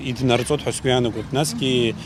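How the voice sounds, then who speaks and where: A man's voice: medium pitch, fairly even intonation, moderate pace. A man speaking off the cuff as an interviewee about his own work. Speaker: animated film director, interviewee. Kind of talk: unscripted interview. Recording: clear background noise.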